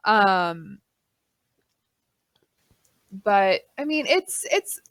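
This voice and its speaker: A woman in her late twenties from Texas, co-hosting a casual podcast chat, lively and quick to swear. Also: medium pitch, smooth, no room sound. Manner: animated, swears freely. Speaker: podcast co-host. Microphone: studio mic.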